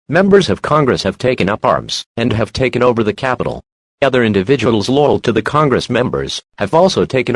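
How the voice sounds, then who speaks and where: Man as automated emergency alert announcer: grave, measured, formal, very dry sound. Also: medium pitch, no echo.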